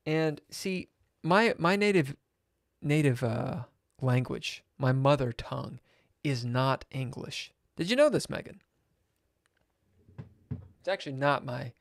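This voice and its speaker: A man on a live stream, telling a rambling story in a sardonic, swearing manner, with loud outbursts and whispered asides. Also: mid-range voice, creaky, dry recording, studio quality. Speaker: chess streamer.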